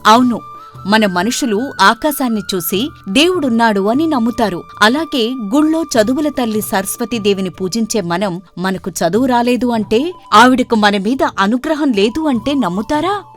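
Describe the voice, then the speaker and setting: A woman voicing a loyal, encouraging young village woman in a moral story, with an animated questioning manner. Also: on the high side, normal speed, lightly acted. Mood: urging. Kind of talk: acted dialogue in a moral story. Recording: music bed under the voice.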